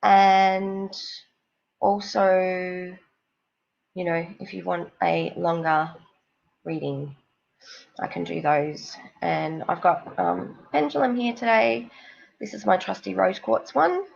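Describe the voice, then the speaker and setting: A woman with a cold and a snuffly nose, giving psychic card readings on a live stream in a slow, rambling, reassuring way. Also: fairly low pitch, fairly even intonation, gravelly, lo-fi sound.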